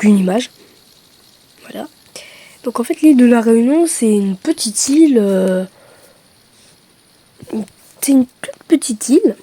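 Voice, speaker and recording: A woman's voice: medium pitch, mellow, breathy edge. A young woman from France chatting casually to her camera, hesitant with many fillers and self-mocking asides. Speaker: vlogger.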